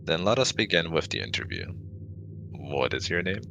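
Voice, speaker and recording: A man's voice: rumbling low, very animated, moderate pace, vocal fry, background noise, exaggerated voice. A man playing a stiff, formal alien scientist in a scripted ASMR roleplay, clinical and robotic in manner. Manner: formal, robotic, avoids contractions.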